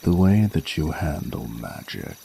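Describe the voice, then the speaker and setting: A man's voice: rumbling low, big pitch swings, gravelly, warm tone, a little hiss or hum, heavily acted. A man voicing a flirty, courtly male dragon in a romantic audio roleplay, speaking formally and soothingly in intimate ASMR style. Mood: admiring, encouraging. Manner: formal, flowery, unhurried, soothing speech. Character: ancient-sounding flirty male dragon, tender, courtly lover.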